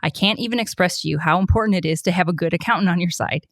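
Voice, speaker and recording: Lowish-voiced woman reading a sponsor spot. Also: very fast, no echo, studio quality. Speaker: sponsor ad reader. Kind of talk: scripted ad read.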